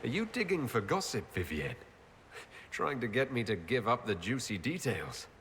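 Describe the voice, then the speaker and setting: A wry young noble hero for a fantasy game, polite and gently teasing. The voice is mid-pitched with lively movement, over faint background noise. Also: male voice, normal speed. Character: courteous, wry young male human noble leader. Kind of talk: video game cutscene acting.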